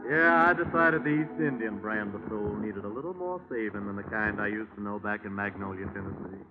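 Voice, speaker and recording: A man's voice: lowish voice, fairly quick, over loud music, natural voice. Earnest American chaplain on muffled old radio, male actor. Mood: genial, welcoming. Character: earnest, genial American army chaplain turned missionary pastor. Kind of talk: 1940s radio drama acting. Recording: muffled, noisy 1949 radio recording.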